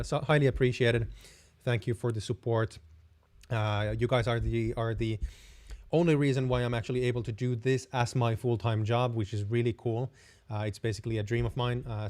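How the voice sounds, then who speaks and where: A young man with a Finnish accent answers viewer questions on a live stream. His voice is warm and mellow at a middle pitch. He speaks in a friendly, rambling way and restarts his sentences often. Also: fairly even intonation, fairly quick. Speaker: host.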